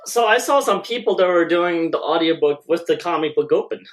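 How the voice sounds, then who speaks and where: A man's voice: fairly high pitch, expressive, a little fast. A man co-hosting a comics podcast, chatting casually in a blunt, slangy, sweary way. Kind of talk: casual chat.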